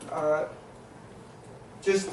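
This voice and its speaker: A man from New Zealand moderating a conference panel discussion, summarizing points with many pauses and filler sounds. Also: noisy background. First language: Maori. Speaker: panel facilitator. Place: conference hall. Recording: echoey hall, mic at a distance.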